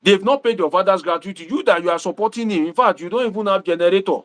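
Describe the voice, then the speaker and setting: A Nigerian man hosting a livestream, talking to viewers in a preachy, animated way and dropping Pidgin asides. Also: high-pitched, quick pace. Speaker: livestream host and political commentator.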